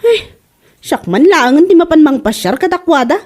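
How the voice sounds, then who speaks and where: A woman's voice: high voice, lively intonation, normal speed, a little mellow. A woman playing a concerned, assertive daughter in a family comedy drama, questioning and pleading in an Ilocano accent. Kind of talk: radio-style comedy drama acting.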